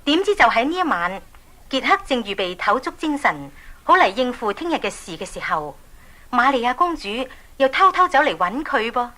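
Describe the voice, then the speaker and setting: A woman's voice: a little high, very animated, moderate pace, pushed and bright. A woman with a Hong Kong Cantonese accent narrating a children's story in a scripted storytelling style.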